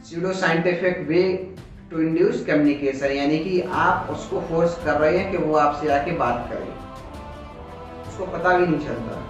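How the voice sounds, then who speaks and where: A man with an Indian accent teaching in an explanatory, motivational lecture style, slowing down deliberately on English phrases. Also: a little high, highs cut off. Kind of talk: motivational lecture on communication skills. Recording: very echoey room, music behind.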